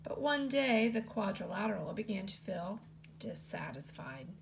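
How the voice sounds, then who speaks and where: A woman teacher reads a picture book aloud to children. Her voice has a gravelly, creaky texture and a lively, sing-song melody. A faint hum sits under her reading. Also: normal speed. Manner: expressive read-aloud with lots of pitch movement.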